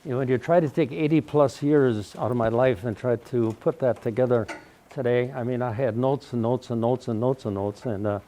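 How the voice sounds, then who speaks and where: A man around ninety with a mellow voice, telling his life story to a live audience in a folksy way. He speaks loudly because he has no microphone and often clears his throat. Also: medium pitch, fairly even intonation, moderate pace, slight background noise. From Wausau, Wisconsin, USA. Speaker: guest speaker. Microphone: no microphone, recorded in the room.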